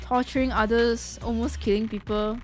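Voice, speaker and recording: Casting: female let's-play streamer, fairly high voice, invested, casual reactions, faint music underneath. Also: normal speed. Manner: expressive, emotionally invested, slangy. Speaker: game streamer reacting to a story quest. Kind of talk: casual reaction commentary, reading narration aloud.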